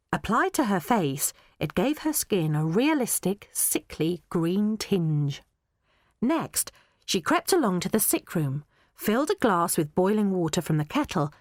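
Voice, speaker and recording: A woman narrating a children's audiobook in a measured, even way. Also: lowish voice, no echo, studio quality. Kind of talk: storybook narration.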